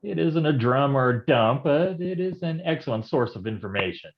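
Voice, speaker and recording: A man's voice: medium pitch, expressive, normal speed, dull audio, a little hiss or hum, close to an everyday voice. An actor playing a wisecracking, down-at-heel noir private detective in a stage reading, with slangy tough-guy talk. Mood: wry, brooding. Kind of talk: noir stage acting with narrated monologue.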